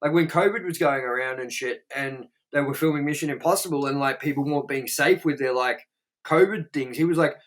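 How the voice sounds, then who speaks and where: A man's voice: on the high side, fairly quick. A young man co-hosting a movie review show. He reads out trivia and rambles bluntly, swearing and filling his talk with "like.". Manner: rambling, profane, blunt, with many "like" fillers. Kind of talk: casual chat reading out movie trivia.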